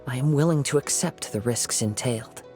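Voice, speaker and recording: A man voicing a calm young wandering samurai who speaks in a measured, earnest tone at a middle pitch. Faint game music hums beneath his lines. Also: close to an everyday voice. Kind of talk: game cutscene voice acting.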